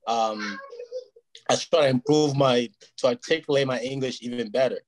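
A man's voice: mid-range voice, normal speed, poor audio. Earnest man, Portuguese his first language. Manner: earnest, rambling, keeps asking "you know what I mean". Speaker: interview guest. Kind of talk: casual interview chat.

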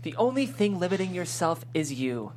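Female stage-direction narrator, very low voice, lively intonation, table-read recording with background hum. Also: normal speed.

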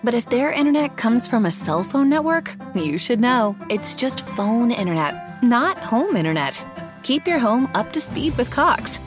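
A woman delivers a scripted commercial with a polished, persuasive read. Music plays clearly underneath her. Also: fairly high pitch, sing-song, unhurried. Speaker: ad voice-over.

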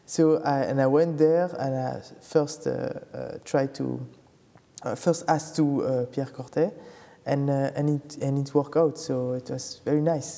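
Hesitant man from Belgium, mellow voice. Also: mid-range voice, fairly even intonation, vocal fry, in a large hall. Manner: hesitant, many fillers, halting phrasing. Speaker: guest, brand founder. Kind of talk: casual interview. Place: fashion atelier.

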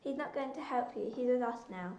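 A woman's voice: a little high, brisk, a little mellow, natural voice. Young woman as a sneering, bossy orphan bully girl. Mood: sneering, threatening. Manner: domineering, sneering.

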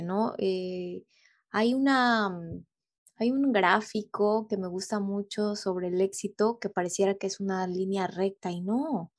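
Mexican woman chatting on a video call, lots of ehs and tags, medium pitch. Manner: thoughtful, many hesitations and rhetorical tags. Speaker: interview guest. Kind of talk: casual interview chat.

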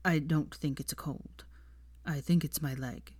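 A woman narrator acts out a sick young man in a story reading. He sounds low, hushed and worn out. His delivery is slow, halting and understated, with dry stubbornness. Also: steady tone, breathy edge, no echo. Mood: weak, reluctant.

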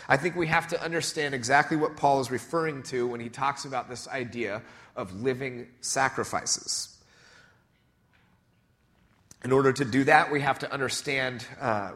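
A man's voice: medium pitch, fairly bright tone. A man preaching a conversational sermon, nasal and stuffed up from a cold, with many fillers and restarts. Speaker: pastor.

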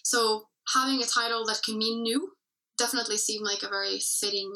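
A woman's voice: fairly high pitch, fairly even intonation, brisk, bright, forceful tone, recorded from a distance, rough recording. A woman musician talking in a casual interview, reflective and searching for words with many fillers and restarts, speaking English as her first language. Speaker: musician guest.

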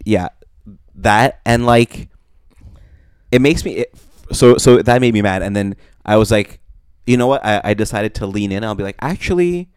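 A young man from Russia co-hosting a podcast, telling a story in a deadpan comic way that turns loud when he gets riled. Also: fairly low pitch, moderate pace, clean tone, no room sound, studio quality. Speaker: podcast co-host. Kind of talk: casual comedic chat.

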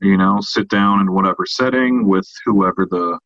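Male podcast co-host, lowish voice, measured conversational explaining, dry and muffled recording. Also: dry recording. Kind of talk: casual discussion of horror films. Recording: dull, lo-fi, dry audio.

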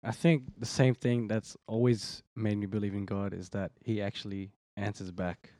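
A man's voice: on the low side, flat delivery, normal speed, mellow, dry recording. Aussie guy early twenties, easygoing chat on a podcast, dry close sound. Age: 20s. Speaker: church leader, guest. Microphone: podcast microphone.

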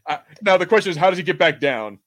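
A man's voice: high voice, lively intonation, fast, a bit bright. A man talking in a casual, slangy and enthusiastic way as a podcast host at a movie watch party. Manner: slangy, enthusiastic, mildly sweary.

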